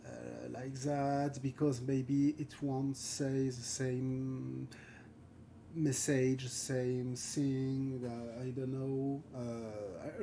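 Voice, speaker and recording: A gravelly-voiced man from France speaks English with a French accent, slowly and haltingly, reaching for words between fillers. He chats with viewers while he paints during a live stream. A faint hum of room noise sits under his voice. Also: mid-range voice, mellow. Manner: thinking aloud while painting. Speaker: concept art streamer. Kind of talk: live art stream chat.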